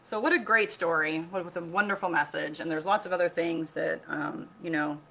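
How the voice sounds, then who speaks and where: A woman reading a picture book aloud like a teacher, pausing to explain, ask questions and repeat key points. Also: fairly low pitch, fairly even intonation, vocal fry, bright, forceful tone, slight background noise. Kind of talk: read-aloud storytelling with explanatory asides.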